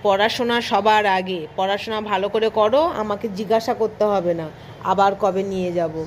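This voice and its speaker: A woman's voice: mid-range voice, fairly even intonation, fairly quick, a little hiss or hum, close to an everyday voice. A woman playing a caring but strict mother in a cartoon, scolding and coaxing. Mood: firm, coaxing. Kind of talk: amateur cartoon voice acting.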